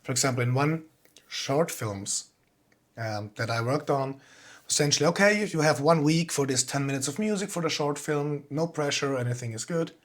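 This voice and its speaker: A man's voice: on the high side, normal speed. A man with a Swiss accent giving an informal online talk as a composer, explaining things in a plain-spoken way. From Switzerland.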